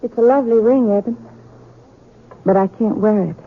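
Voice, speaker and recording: Frontier sweetheart: husky, regretful, gently firm, tinny radio sound. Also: female voice, a little high, moderate pace. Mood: sad reproach. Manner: gentle but firm reproach. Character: sorrowful, reproachful young frontier schoolmarm. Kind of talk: old-time radio drama acting. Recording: tinny vintage radio recording.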